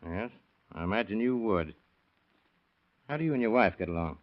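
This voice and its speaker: A man's voice: fairly low pitch, lively intonation, normal speed, natural voice. A man playing a cool, matter-of-fact police detective with clipped questions in a 1930s radio crime drama. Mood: cool, probing. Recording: dull lo-fi vintage radio recording.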